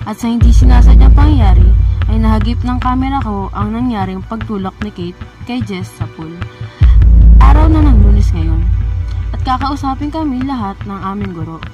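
A woman's voice: on the high side, expressive, moderate pace. Fearful schoolgirl, dull recording, acted by a woman. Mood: solemn. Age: young adult. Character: Emmy, frightened teenage schoolgirl witness narrating. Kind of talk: student radio drama monologue. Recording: dull lo-fi, loud music bed.

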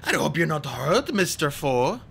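A man's voice: big pitch swings, a little slow, creaky, fairly bright tone, a little hiss or hum, full character voice. A man voicing a scheming villain who pretends to be a distressed, fluttery assistant in an audiobook story. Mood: feigned distress. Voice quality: high, fluttery when pretending. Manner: theatrical, oily, falsely apologetic.

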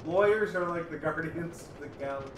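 A man's voice: a little high, fairly quick, roomy sound, noisy background. A man in his thirties, a father co-hosting his child's gaming video, making playful teasing dad jokes in casual chat at home. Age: 30s. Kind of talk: casual chat while watching gameplay. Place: home room, indoors.

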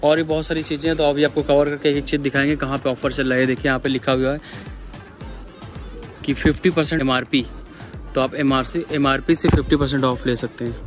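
A man's voice: medium pitch, level tone, a little fast. A man with a North Indian accent giving a casual, repetitive walk-through shopping commentary as a vlogger, addressing viewers like buddies. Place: large busy supermarket, indoors. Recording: background music over store vlog audio. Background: music.

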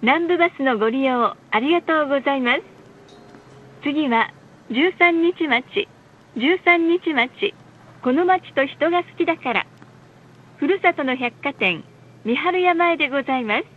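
Female bus announcer, very high voice, formal and measured, heard through a narrow lo-fi onboard speaker. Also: animated. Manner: polite, formal, measured enunciation. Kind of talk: scripted bus stop announcements and ad reads. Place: recorded announcement played inside a bus. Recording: muffled, lo-fi bus speaker recording.

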